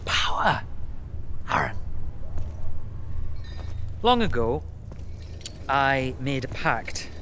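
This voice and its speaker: An actor playing a smug, power-hungry royal villain with a haughty, taunting manner in a game cutscene. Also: male voice, medium pitch, big pitch swings, very slow, long pauses, sharp tone, a little hiss or hum, full character voice. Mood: smug, cold. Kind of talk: video game cutscene acting.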